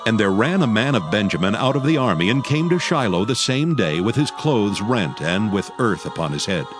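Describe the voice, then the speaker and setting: A man narrating a dramatized Bible reading in a measured, solemn manner. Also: fairly low pitch, very animated. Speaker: scripture narrator. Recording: loud music under the voice.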